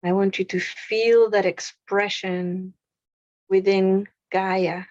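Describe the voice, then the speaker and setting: A woman leading a guided meditation, speaking softly with long pauses between short phrases. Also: medium pitch, little pitch movement, dry recording, muffled recording. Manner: slow, soft, with long pauses.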